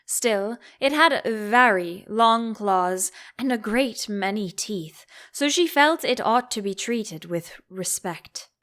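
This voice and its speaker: A woman reading a children's story as an audiobook narrator, in expressive scripted narration. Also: mid-range voice, slow pace, few pauses.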